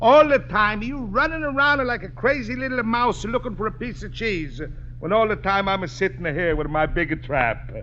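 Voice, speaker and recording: An actor playing a boisterous, scheming Italian immigrant, loud and bossy in broken English with an Italian accent, in a 1950s radio sitcom. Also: male voice, high voice, normal speed. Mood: scolding. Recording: muffled, noisy old radio recording.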